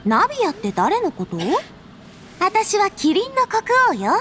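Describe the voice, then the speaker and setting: A woman's voice: extremely high pitch, huge pitch swings, fairly quick, fairly bright tone, faint music behind, heavily acted. A woman voicing a confident, theatrical fairytale royal in a Japanese video game, grand and playful. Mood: confident, inviting.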